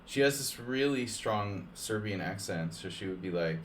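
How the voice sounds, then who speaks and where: A man's voice: on the low side, animated, moderate pace, slight background noise. A man streaming chess against viewers, chatting casually and rambling, with lots of "like" and restarts between joking asides. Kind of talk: casual live-stream chat over games.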